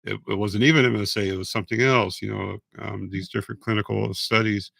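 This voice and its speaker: A man going live on a casual stream, hoarse and raspy, talking in a rambling, halting way with frequent restarts. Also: lowish voice, steady tone, a bit bright, no echo. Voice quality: raspy, voice fading. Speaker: patient vlogger going live.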